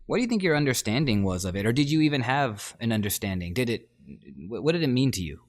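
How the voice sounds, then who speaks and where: A man's voice: medium pitch, sing-song, quick pace, no room sound. A man hosting a podcast interview, asking friendly, rambling questions in a casual way. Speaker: podcast host. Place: Brooklyn.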